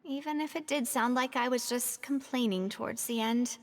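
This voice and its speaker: A woman playing a spirited, determined young woman leader in a video game, with a polished, expressive delivery. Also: a little high, normal speed, close to an everyday voice. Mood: composed.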